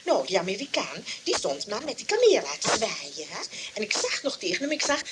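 An actress playing a flustered, flirtatious shopkeeper in a radio play, gushing, stammering and repeating herself. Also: female voice, mid-range voice, very animated, fairly quick, noisy background, lightly acted. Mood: excited chatter. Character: giggly, flirtatious shopkeeper woman, flustered and chattering.

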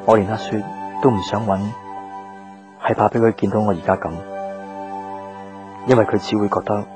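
A man's voice: on the low side, little pitch movement, moderate pace, music in the background, natural voice. An actor playing a weary, guarded young man in a Cantonese radio drama, speaking in a subdued, reflective way. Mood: weary, withdrawn.

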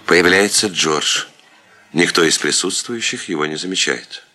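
A deep-voiced man narrates a radio play. His delivery is scripted, measured and detached, setting out the action between scenes. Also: pushed and bright. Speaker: stage-direction narrator.

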